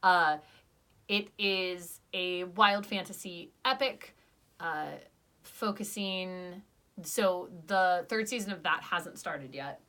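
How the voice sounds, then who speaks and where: A young woman chats through a podcast review, enthusiastic and casual. Her voice is bright and a bit edgy, sitting on the lower side. She rambles a little, with plenty of likes and ums. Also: slow pace. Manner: chatty, enthusiastic, many fillers. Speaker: podcast reviewer, channel host.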